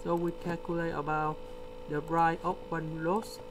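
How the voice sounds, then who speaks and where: Brief: male tutor, slow deliberate walkthrough, mains hum under the voice. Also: on the high side. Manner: slow, step-by-step explaining with pauses. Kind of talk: screen-recorded math lesson. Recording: steady electrical hum behind.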